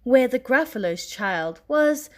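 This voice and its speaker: A woman reading a children's picture book aloud in an expressive storytelling style. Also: high-pitched, slow pace.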